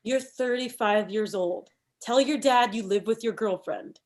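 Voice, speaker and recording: A woman's voice: on the high side, unhurried, a little mellow, lightly acted. A woman playing a pushy, combative thirty-year-old campaign manager in a comedy table read, arguing emphatically. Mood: brisk scheming. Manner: argumentative, emphatic.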